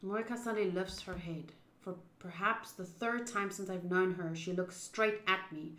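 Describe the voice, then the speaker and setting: A young woman with an expressive, chatty manner, reading a visual novel aloud and commenting as she plays. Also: fairly low pitch, normal speed. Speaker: streamer playing a visual novel. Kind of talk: gaming commentary, reading story text aloud. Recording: roomy, echoing voice.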